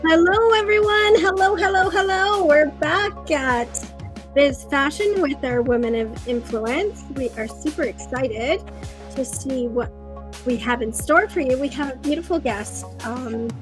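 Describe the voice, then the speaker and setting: A woman's voice: sits high, a little slow, soft background music. A woman hosting a livestream interview, bubbly and effusive, with frequent ums. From Canada. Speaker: host.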